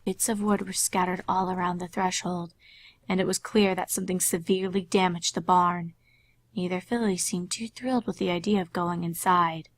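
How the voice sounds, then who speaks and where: Woman, somewhat low pitch, story narrator, scripted fan fiction reading. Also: steady tone, normal speed, dry recording.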